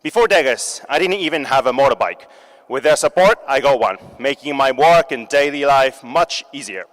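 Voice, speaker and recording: A man from Japan giving a startup competition pitch, upbeat and joking with the audience. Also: animated, normal speed. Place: large hall. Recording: long hall reverb.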